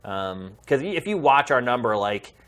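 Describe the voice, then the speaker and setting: A man's voice: medium pitch, lively intonation, normal speed, a bit bright. A middle-aged man speaking as a podcast host, addressing viewers in a casual, heartfelt and emphatic way.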